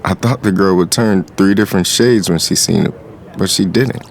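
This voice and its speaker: Low-voiced cocky streetwise man. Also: normal speed, gravelly, slight background noise. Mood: smug, amused. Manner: confident, sly, casual. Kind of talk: first-person dramatized story narration.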